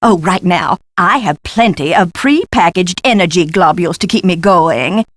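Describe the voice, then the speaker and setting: An actress playing an eccentric, excitable, absent-minded woman professor in a video game, with a flighty, pompous and exclamatory delivery. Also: fairly low pitch, normal speed. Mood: giddy, scattered. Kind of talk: theatrical video game voice acting.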